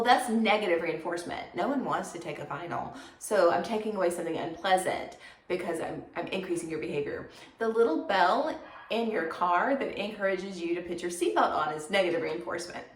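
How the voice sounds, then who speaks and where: Woman teacher, kinda raspy, chatty lecture w lots of ums, roomy echo. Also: lowish voice. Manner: conversational, many fillers, everyday examples. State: slightly hoarse from congestion. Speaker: psychology instructor. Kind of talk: recorded lecture. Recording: echoey room, faint noise.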